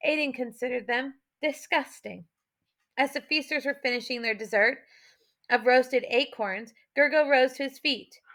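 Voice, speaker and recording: A woman audiobook narrator with a high, bright voice reads an adventure story. There is noticeable fry in her voice as she tells the scripted tale. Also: moderate pace.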